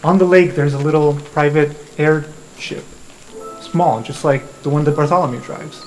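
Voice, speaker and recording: A man's voice: a little high, steady tone, normal speed, soft background music, rough recording. A man hosting as game master, narrating an improvised tabletop game in an informal, joking way.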